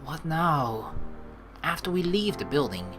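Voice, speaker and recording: Expressive, low-pitched woman gaming streamer. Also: measured pace. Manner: expressive, sweary, dramatic. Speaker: let's play streamer. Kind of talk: casual gaming commentary, reading game text aloud and improvising replies. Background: game music.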